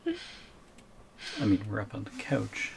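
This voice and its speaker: A man's voice: fairly low pitch, wildly swooping pitch, slow pace, gravelly, a little hiss or hum, exaggerated voice. A man acting a tiny, shrunken captive boyfriend in an ASMR audio roleplay, reluctant and wary, with a hesitant, wry way of protesting. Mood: wry, resigned.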